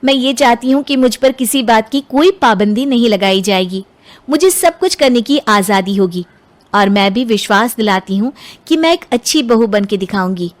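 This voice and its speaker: High-ish, assertive young bride played by a woman. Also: expressive, normal speed, close to an everyday voice. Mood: determined. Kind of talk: Hindi cartoon dubbing.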